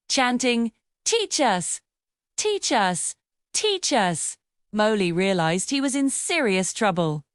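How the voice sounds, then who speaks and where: Woman, children's storyteller, lively bouncing pitch, fairly high voice, dry close voice-over. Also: no room sound. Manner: expressive storybook delivery. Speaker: storyteller narrator. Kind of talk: scripted children's bedtime story narration.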